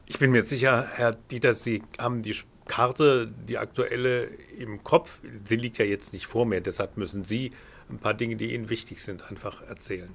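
A man's voice: mid-range voice, steady tone, normal speed, creaky, fairly bright tone. Male presenter and interviewer, fluent and polished, suited to scripted reporting and conversational questions. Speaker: radio interviewer and narrator.

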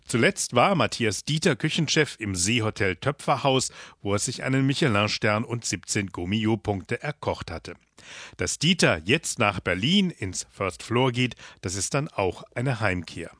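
A man's voice: lowish voice, animated, unhurried, fairly bright tone. Polished male radio interviewer. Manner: fluent, polished delivery. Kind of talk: scripted report and interview questions.

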